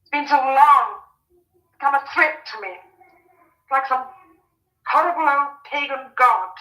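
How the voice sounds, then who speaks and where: An actress playing an anxious, protective young farmer's daughter, speaking earnestly in a vintage radio drama style. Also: female voice, high voice, a little slow, pushed and bright, poor audio. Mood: fearful, brooding. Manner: earnest, emphatic, fretful.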